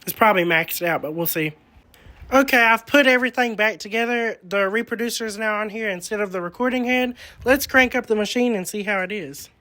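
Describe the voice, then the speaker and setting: A man's voice: sits high, fairly quick, sharp tone. A man presenting an informal, hands-on demonstration of antique recording equipment, explaining each step as he goes.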